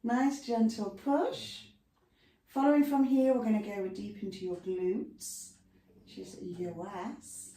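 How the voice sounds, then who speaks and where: A woman's voice: medium pitch, fairly even intonation, slow pace, warm tone. A woman instructor talking a class through stretches at home in a chatty way, with lots of asides between the cues. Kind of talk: guided exercise instruction. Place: room at home with a dog nearby. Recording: echoey room, mic far off.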